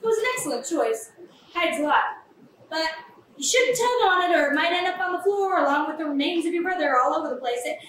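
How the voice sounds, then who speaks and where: A woman's voice: very high-pitched, quick pace. A young woman playing a glib, manipulative, callous woman with a morbid streak in a theatrical staged reading. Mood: flippant. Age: young adult. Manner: animated, flippant, theatrical. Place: small café, live staged reading. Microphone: far camera. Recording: echoey, distant camera mic, faint noise.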